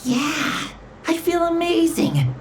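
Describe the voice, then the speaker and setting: Slow, hesitant young child. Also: female voice, very high voice, big pitch swings, faint music behind, heavily acted. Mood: amazed, hopeful. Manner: hesitant, halting. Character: frail young child, humble, dazed and full of wonder.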